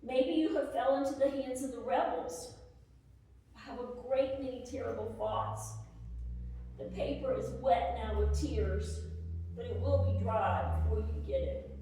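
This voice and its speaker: A woman giving a slow, deliberate dramatic reading as a careworn, plainspoken Civil War soldier's wife writing letters. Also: fairly high pitch, dark tone. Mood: despairing, anxious. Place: echoey room at a live presentation. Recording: echoey hall, distant mic, faint noise.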